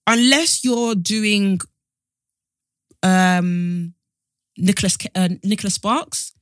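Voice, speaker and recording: A woman in her twenties co-hosting a podcast, telling stories in an animated, exasperated way. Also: mid-range voice, takes it slowly, no echo, clean studio sound. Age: 20s. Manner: animated storyteller, exasperated asides. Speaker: podcast co-host. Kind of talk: casual chat.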